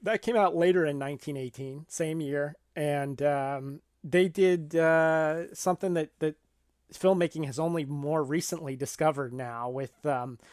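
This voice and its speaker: Higher-pitched man, casual film podcast host. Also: a little slow, darkish tone, no echo, clean studio sound.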